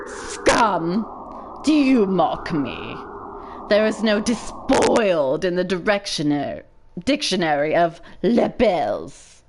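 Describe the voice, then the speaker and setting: A woman's voice: lowish voice, big pitch swings, takes it slowly, noisy background, exaggerated voice. A woman voicing a haughty, pompous young male aristocrat student with formal, indignant diction in a visual novel.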